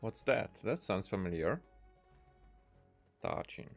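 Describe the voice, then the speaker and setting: A game streamer making slow, hesitant, mumbled comments over gameplay. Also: low voice, sing-song, creaky, faint music behind.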